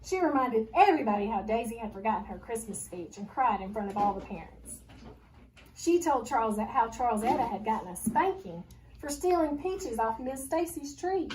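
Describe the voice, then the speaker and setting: A woman teacher reading a children's story aloud with expressive narration. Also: on the high side, normal speed. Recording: noisy, distant microphone.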